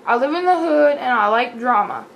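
A woman's voice: a little high, expressive, normal speed, gravelly, highs cut off, slight background noise. A young woman voicing a brash, blunt party-girl doll in her early twenties.

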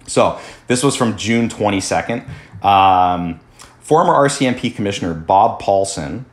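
A man from Canada hosting a podcast, reading news articles aloud and commenting in a halting way full of fillers, his voice slightly worn. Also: lowish voice, lively intonation. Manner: halting, many fillers and restarts. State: losing his voice. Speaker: podcast host.

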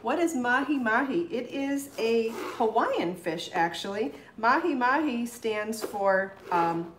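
A woman's voice: a little high, animated, takes it slowly. Middle-aged lady cooking live at home, chatty, talks while she chops, echoey kitchen w knife clatter. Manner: chatty, explains as she chops, answers viewer questions. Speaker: cooking show host. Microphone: fixed camera across the kitchen counter. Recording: echoey kitchen with chopping noise. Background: knife chopping on a cutting board.

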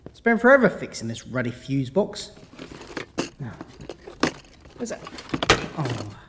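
An actor playing a bumbling, self-assured middle-aged family dad in an audio drama. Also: male voice, medium pitch, very animated, slow, big-room sound, noisy background. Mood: flustered, breezy.

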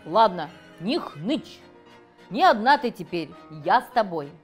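A woman's voice: medium pitch, sing-song, unhurried, faint music behind. A woman voicing a blustering, grumpy but kindly old male carp in a storybook tale. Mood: gruff, consoling. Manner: blustering, grumbling.

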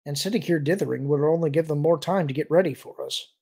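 A man reads a wry, pragmatic woman adventurer from a storybook. She is brisk, dry and matter-of-fact, with a husky voice and some creak. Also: fairly high pitch, warm tone. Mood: brisk, firm.

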